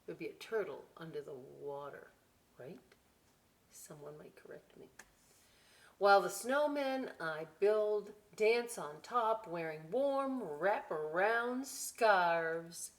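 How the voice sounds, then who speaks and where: Slow-paced woman reading a children's story. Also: lowish voice. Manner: expressive, drawn-out reading with conversational commentary. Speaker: library story time reader. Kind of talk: read-aloud to children with chatty asides.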